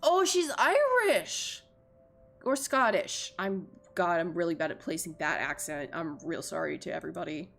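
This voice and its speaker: Expressive low-voiced woman streamer, slightly creaky. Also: normal speed. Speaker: streamer playing a visual novel. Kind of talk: reading game narration aloud with chatty reactions. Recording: music behind the voice. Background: game music.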